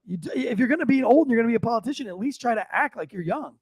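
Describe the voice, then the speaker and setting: A man's voice: very high voice, animated, very fast, husky, no echo, studio quality. An American man co-hosting a podcast with casual, blunt, opinionated rants, repeating phrases for emphasis. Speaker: podcast co-host. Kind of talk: casual, profane opinionated banter.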